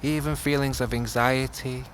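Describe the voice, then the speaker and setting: A man teaching a guided meditation, speaking gently in short phrases with long pauses. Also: mid-range voice, fairly even intonation. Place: outdoors. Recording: outdoor class with clear background noise.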